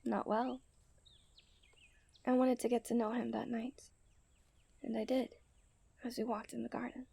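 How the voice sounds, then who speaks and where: A young woman playing a coy, refined and tender princess in a romantic ASMR roleplay, speaking in playful storybook diction that often softens to a near-whisper. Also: on the high side, little pitch movement, unhurried, creaky, long pauses, husky, heavily acted. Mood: tender, earnest. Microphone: close studio-style microphone.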